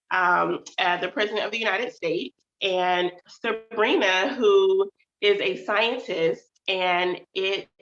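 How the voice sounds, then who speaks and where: A woman's voice: mid-range voice, fairly bright tone, echoey room, muffled recording. A woman hosting her own channel, chatting casually to her audience, calling them "y'all," and sounding slightly nasal from a stuffy nose. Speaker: author and channel host. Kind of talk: casual livestream chat.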